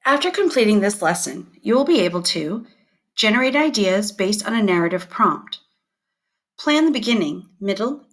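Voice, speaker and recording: A woman teacher reading scripted educational material aloud in a clear, deliberate and expressive way. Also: mid-range voice.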